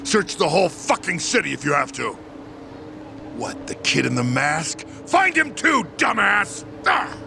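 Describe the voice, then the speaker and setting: An actor playing a furious, foul-mouthed gangster boss in a video game, barking explosively in a gravelly voice. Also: male voice, a little high, very animated, unhurried, over music, exaggerated voice. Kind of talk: video game drama acting.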